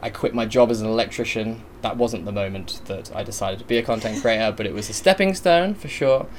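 A man from England with an English accent carrying an Australian twang, chatting casually as a podcast guest, upbeat and quick to laugh. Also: slight background noise. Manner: upbeat, laughs often, breaks into high laughs. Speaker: podcast guest, content creator. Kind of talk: casual chat.

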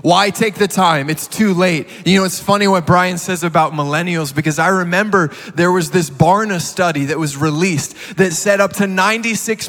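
A man's voice: high voice, fairly bright tone. A man in his thirties speaking earnestly from the stage, often repeating words as he builds his point. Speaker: guest speaker. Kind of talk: heartfelt sermon. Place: large church hall. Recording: reverberant hall.

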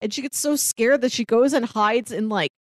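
A woman's voice: fairly high pitch, moderate pace, no room sound, clean studio sound. A woman co-hosting a casual film discussion, chatty and often laughing as she talks. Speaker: podcast co-host.

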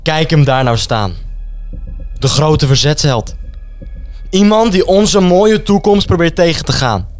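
An actor playing a stern, contemptuous Nazi collaborator in a radio drama, speaking in a hard, resolute, taunting way. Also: male voice, fairly high pitch, expressive, measured pace, long pauses, soft background music. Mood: taunting, heated. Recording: clear background noise and ambience.

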